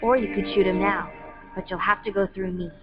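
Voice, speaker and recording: A woman playing a calm, solemn young soldier with foresight in a video game drama. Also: mid-range voice, fairly quick, over music, close to an everyday voice. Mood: solemn warning. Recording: muffled game audio.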